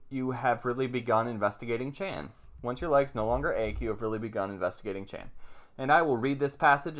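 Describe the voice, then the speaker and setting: A man giving informal spoken instruction as a video host, plain-spoken and halting, repeating phrases as he explains and reads passages aloud. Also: mid-range voice, a bit bright. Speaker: meditation teacher, video host. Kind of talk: informal teaching commentary with read-aloud passages.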